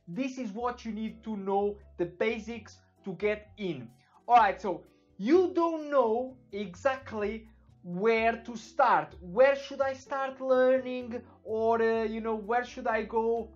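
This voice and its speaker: A man's voice: high-pitched, slow. A young man from Portugal with a Portuguese accent, giving a casual screen-share web development tutorial, loose and full of fillers, often checking in with "okay?". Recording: dull lo-fi with faint music behind.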